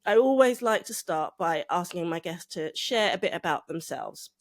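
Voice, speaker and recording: A woman hosting a podcast interview in a bubbly, effusive way, a little croaky from a cold. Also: on the low side, animated, normal speed. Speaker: podcast host.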